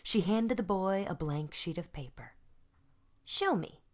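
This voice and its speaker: A woman reading a children's storybook aloud in an expressive, deliberate style, like an art teacher to pupils. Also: on the low side.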